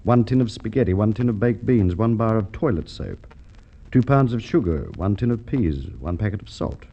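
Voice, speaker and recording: Shrewd, mellow Australian police inspector. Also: male voice, on the low side, animated. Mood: musing, assured. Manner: deliberate, musing, courteous. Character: shrewd, measured male police inspector in a crime drama. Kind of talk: golden age radio drama acting. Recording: dull vintage radio drama recording.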